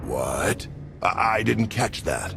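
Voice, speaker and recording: An actor playing a gruff, menacing ex-soldier arms dealer with a deep gravelly voice in a video game. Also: male voice, sing-song, slow, shouting, background noise, exaggerated voice. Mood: smug. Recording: music behind the voice.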